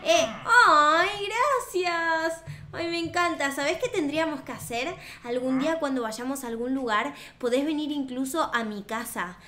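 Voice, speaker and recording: A young woman with a Rioplatense Spanish accent, hosting a gaming video and improvising roleplay in an expressive, sweet, childlike way. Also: sits high, moderate pace. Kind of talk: improvised in-character gameplay roleplay. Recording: roomy echo, music behind. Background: background music.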